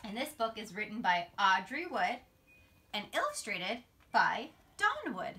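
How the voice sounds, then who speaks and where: Female storyteller, bright and lively, expressive read-aloud for little children with suspenseful drawn-out phrasing, home phone recording. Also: medium pitch. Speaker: teacher reading a bedtime story. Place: small carpeted-sounding bedroom, indoors, seated on a bed. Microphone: phone camera a few feet away. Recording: phone video with clear background noise.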